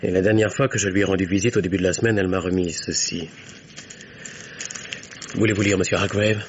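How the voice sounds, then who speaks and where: Polite, calculating forger male actor, very deep voice, formal radio-play delivery, slight background noise. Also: steady tone, a little fast, lightly acted. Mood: confident, smug. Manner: formal, polite, self-possessed. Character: polite, smooth-talking forger posing as loyal friend. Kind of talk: 1950s French radio mystery acting. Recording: noisy old radio recording.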